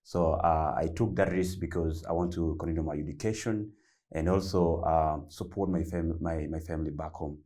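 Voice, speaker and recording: A young man from South Sudan telling his story on a panel, halting and restarting often with many fillers. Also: extremely low pitch, steady tone, dark tone. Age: young adult. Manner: halting with many repeats and fillers, loose grammar. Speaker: panelist, youth founder. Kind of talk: panel discussion, personal story.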